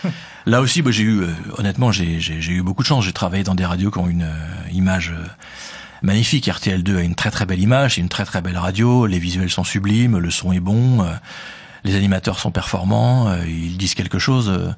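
Man, Parisian accent, husky low voice, quick casual talk, lots of hesitations. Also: darkish tone. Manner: fast, hesitant with many fillers. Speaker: radio host, interview guest. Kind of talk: conversational interview.

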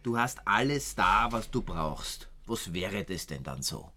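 A man's voice: low-pitched, big pitch swings, measured pace, pushed and bright, heavily acted. A man playing a curious, probing amateur detective in a radio play. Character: curious, probing male amateur sleuth, feigning admiration.

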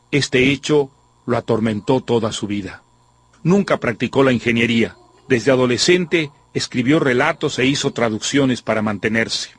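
A man presenting a radio novel with scripted literary narration in a formal, measured, erudite way. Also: medium pitch, breathy edge. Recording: music behind the voice.

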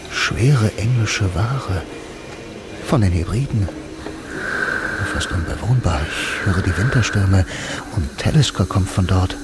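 Low-voiced brooding noir narrator. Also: male voice, very noisy. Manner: terse, lyrical sentences. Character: blind film-noir loner, brooding, dreamy narrator. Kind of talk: first-person radio drama narration. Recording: music under the voice. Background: music.

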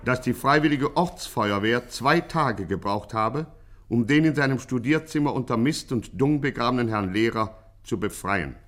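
Actor doing a grandiose crime story narrator, mid pitched, tongue in cheek, old german radio play. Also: male voice, expressive, normal speed, fairly bright tone, close to an everyday voice. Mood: mock-heroic. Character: bombastic mock-heroic crime chronicle narrator.

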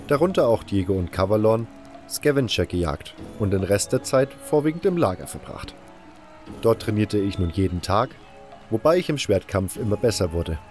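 A man playing a fantasy convict tells of life in the camp, first person, as in an audio drama. The voice is on the low side and the telling is measured and matter-of-fact, over faint music. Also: darkish tone, close to an everyday voice.

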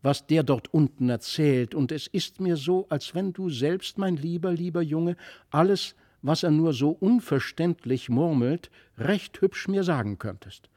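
A man's voice: on the high side, a little slow, a little mellow, dry recording, clean studio sound, voice pushed up. A man voicing an eager, impetuous, curious young boy in a storybook reading. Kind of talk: literary audiobook reading.

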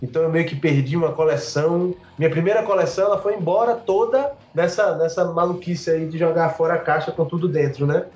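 A man reminisces casually as an interview guest. His voice is fairly high for a man and sounds roomy and slightly dull, with chatty word repetitions. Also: brisk, hardly any pauses, a little mellow. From Salvador, Brazil. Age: 50s. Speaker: interview guest, comics artist. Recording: dull, echoey room sound.

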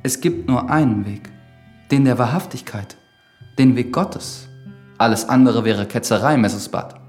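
A man's voice: medium pitch, unhurried, a little mellow, soft background music, natural voice. An actor playing an earnest, dutiful priest in a German radio drama, formal, pious and probing in his questions. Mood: stern.

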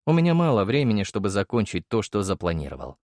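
A man's voice: lowish voice, huge pitch swings, fast, darkish tone, no room sound, clean studio sound. A man reading first-person fiction as a scripted audiobook narration.

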